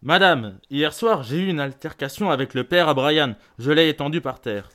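A man's voice: medium pitch, moderate pace, close to an everyday voice. Young man as a combative schoolboy's father. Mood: agitated, blunt. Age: teenager or young adult student. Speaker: amateur student actor. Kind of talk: theatrical reading of parents' letters.

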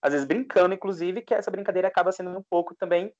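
A man's voice: high voice, fast talker, darkish tone, lo-fi sound. Reflective man. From Pernambuco, Brazil. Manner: reflective, with many restarts and fillers. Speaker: guest. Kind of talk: casual interview chat.

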